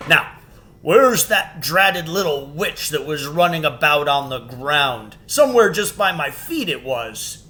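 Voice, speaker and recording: A man's voice: on the high side, lively intonation, a little slow, sharp tone. A man voicing a huge, drowsy, good-tempered giant from a storybook, blustering and exclaiming. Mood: groggy, bewildered.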